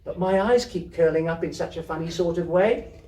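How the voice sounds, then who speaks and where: A man's voice: on the high side, normal speed, dark tone, pitched above the performer's own voice, natural voice. A man voicing a curious, imaginative little girl in a storybook, full of eager questions and childlike prattle. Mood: sleepy, curious. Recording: roomy echo, distant microphone.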